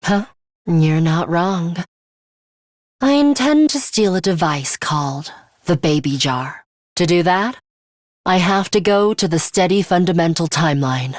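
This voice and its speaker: Stern, measured young woman fighter. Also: lowish voice, lively intonation, dry recording, studio quality. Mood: resolute, flat. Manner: clipped, formal, matter-of-fact delivery. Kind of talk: English video game voice acting.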